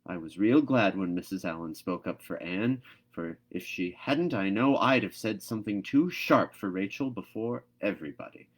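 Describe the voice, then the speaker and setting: A man's voice: sits low, lively intonation. Man reading as a stern, exasperated farm woman. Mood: indignant. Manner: brisk, emphatic scolding. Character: stern, exasperated middle-aged farm woman, sharp-tongued.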